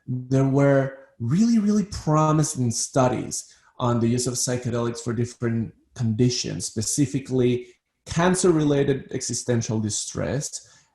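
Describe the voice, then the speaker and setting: A man's voice: mid-range voice, unhurried, a little mellow, echoey room. A man from Ecuador who works as a psychiatrist, talking as an interview guest and often repeating words as he starts a sentence. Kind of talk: interview conversation.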